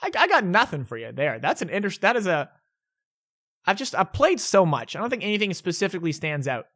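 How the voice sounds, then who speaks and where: A man's voice: sits high, very animated, a little fast, a bit bright. A young man answering viewer questions in a casual, rambling vlog at home, often restarting his sentences. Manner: rambling, self-correcting, laid-back. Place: indoors at home.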